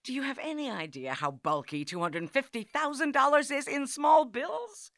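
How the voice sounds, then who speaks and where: Actress as an exasperated, high-voiced sarcastic New York wife. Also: female voice, lively intonation, moderate pace, fairly bright tone, no echo, studio quality. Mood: exasperated, lecturing. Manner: emphatic, sarcastic, indignant. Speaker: actress in audio comedy. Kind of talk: comedic audio drama acting.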